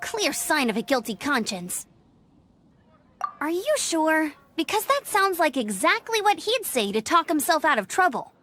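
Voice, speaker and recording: A woman's voice: sits high, sing-song, a little slow, a bit bright, a little hiss or hum, heavily acted. A woman voicing a cheerful, friendly young female scout. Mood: upbeat. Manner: upbeat, friendly. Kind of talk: game cutscene dub. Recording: game cutscene with music behind.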